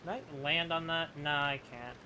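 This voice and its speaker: A man's voice: fairly high pitch, steady tone, normal speed, noisy background. A man giving casual gameplay commentary, opinionated and thinking aloud as he plays. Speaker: gamer doing playthrough commentary. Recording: clear game music behind voice. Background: game music.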